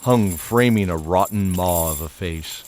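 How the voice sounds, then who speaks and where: Brief: male narrator for a fantasy audio drama, low and measured, soft music under. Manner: measured, descriptive storytelling. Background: faint music.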